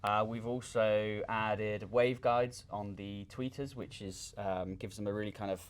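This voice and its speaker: Low-voiced man explaining technical details haltingly. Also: steady tone, clear, resonant tone. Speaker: loudspeaker designer and company representative. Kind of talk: informal technical explanation in an interview.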